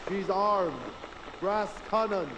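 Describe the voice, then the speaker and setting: An actor playing an alert sailor on lookout in a radio drama, giving clipped reports. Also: male voice, high-pitched, steady tone, slow, fairly bright tone. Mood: tense, alert. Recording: echoey, very noisy sea effects.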